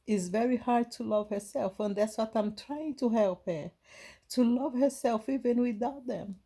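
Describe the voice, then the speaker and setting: A woman from Brazil with a Brazilian Portuguese accent, giving a gentle, halting inspirational talk to her viewers with many restarts. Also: a little high, breathy edge. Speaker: spiritual vlogger.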